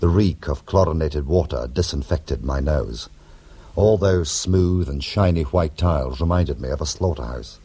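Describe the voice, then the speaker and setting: An actor playing a wry, cheeky criminal in a radio drama, who also narrates with sardonic, glib humour. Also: male voice, very deep voice, moderate pace, dark tone, slightly rough, exaggerated voice. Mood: wry, atmospheric.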